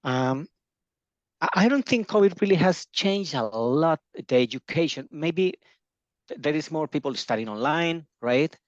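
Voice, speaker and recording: A middle-aged man originally from Colombia, an education agency founder talking in an interview, pausing often with fillers and restarting his sentences. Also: a little high, expressive, no room sound, lo-fi sound. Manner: hesitant, many fillers and restarts. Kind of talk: casual video-call interview.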